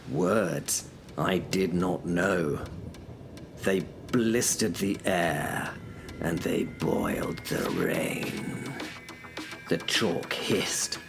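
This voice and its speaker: A man's voice: on the low side, gravelly. A man narrating a horror audio drama in the first person, brooding and dramatic.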